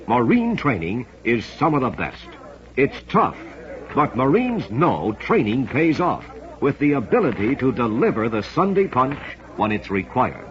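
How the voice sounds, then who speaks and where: An American man announcing formally and emphatically from a script in a mid-century radio style, on a vintage recording. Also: on the low side. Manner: formal, emphatic, polished delivery. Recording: dull, band-limited vintage radio recording.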